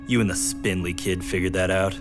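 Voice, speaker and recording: An actor playing a gruff, antisocial passenger in a radio drama, curt and sardonic. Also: male voice, sits low, fairly even intonation, fairly quick, lightly acted. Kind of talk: scripted radio drama acting. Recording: music playing behind the voice.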